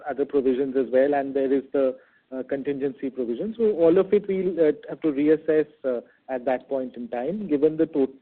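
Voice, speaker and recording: A man with an Indian accent answering questions as a bank executive on an earnings call, speaking in a halting, measured, hedging way over a phone conference line. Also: medium pitch, phone-quality sound. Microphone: telephone conference call.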